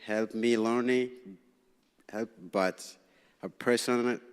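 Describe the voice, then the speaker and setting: A young man from Tanzania whose first language is Swahili, reading a prepared speech as a student representative at a public meeting, halting and nervous, with frequent restarts. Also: medium pitch, fairly even intonation, smooth. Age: young adult. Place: large city hall meeting room. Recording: long reverb in a council chamber.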